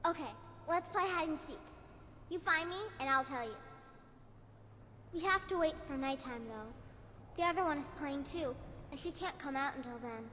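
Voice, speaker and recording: Casting: lonely, playful ghost girl for a fantasy game; high childlike voice, ghostly reverb, some background noise. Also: normal speed, pushed and bright. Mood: playful, secretive.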